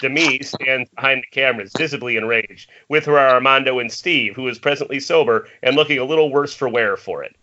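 A man narrates screenplay action at an amateur table read. His voice is mid-pitched and bright. The sound is a little dull, like an internet call. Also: fairly quick, hardly any pauses. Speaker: narrator reading stage directions. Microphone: remote call from home. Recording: muffled internet call audio.

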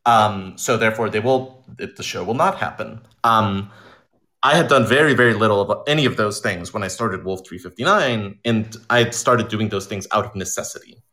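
A man talking in an informal interview as an audio drama creator, telling stories in an animated way and voicing imagined quotes. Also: lowish voice, moderate pace. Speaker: audio drama writer and director being interviewed.

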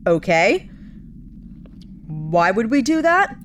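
An actress playing a brisk, exasperated female spaceship captain in a sci-fi audio drama, with a dry, curt manner. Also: a little high, sing-song, a little hiss or hum.

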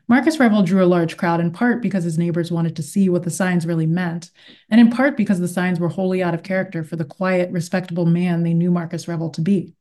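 A woman's voice: on the low side, warm tone. A woman author speaking as a guest and reading literary fiction aloud. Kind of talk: interview talk and read-aloud of literary fiction.

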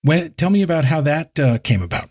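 A man's voice: a little high, lively intonation, a little fast, darkish tone, husky. A man hosting a podcast, introducing his guest and asking questions in an easygoing way. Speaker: podcast host.

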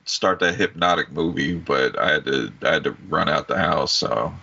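A man with a very low, gravelly voice and a bright edge rambles through casual podcast chat in halting sentences. The recording sounds dull and lo-fi. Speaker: podcast co-host.

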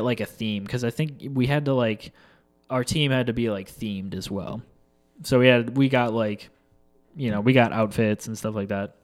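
A man's voice: mid-range voice, clean tone. Rambling, congested young man telling stories. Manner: rambling, loose, full of fillers and swearing. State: congested from allergies. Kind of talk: casual storytelling monologue.

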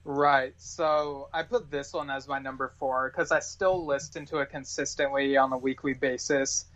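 A man's voice: mid-range voice, steady tone, normal speed, a bit bright. A man speaking as a podcast guest in casual chat, with earnest fan enthusiasm, recorded with dull, lo-fi sound.

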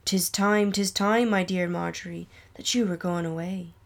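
A woman voicing a dead young male lover in an old ballad, with a put-on Scottish accent and solemn, deliberate, archaic delivery. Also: on the low side, steady tone, vocal fry. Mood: mournful, solemn. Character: ghost of young William, a doomed male lover. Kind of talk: dramatic recital of an old ballad.

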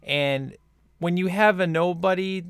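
A man's voice: sits high, steady tone, a little slow, a bit bright, smooth, no echo, studio quality. A man co-hosting a casual movie-review chat, rambling and enthusiastic with lots of fillers. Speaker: podcast co-host reviewing a film.

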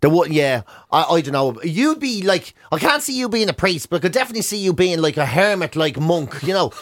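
A man's voice: on the high side, big pitch swings, brisk, no room sound, clean studio sound. An Irish man hosting a radio show, telling comic stories in casual banter and acting out imagined dialogue. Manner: acts out imagined dialogue in anecdotes. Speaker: radio and podcast host.

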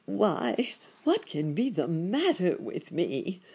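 A woman voicing a fussy old gentleman rabbit with a toothache, crying out in high, wailing exclamations. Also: big pitch swings, takes it slowly, breathy edge, exaggerated voice. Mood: fretful.

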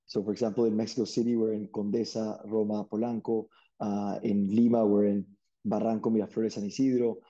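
A young man from Peru, a startup CEO, answering interview questions in a relaxed way with many restarts and fillers. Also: on the low side, fairly quick, dull audio.

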